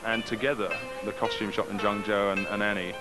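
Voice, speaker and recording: A man's voice: fairly low pitch, flat delivery, fairly quick, over loud music, low-quality recording. A man speaking in an interview, enthusiastic and emphatic.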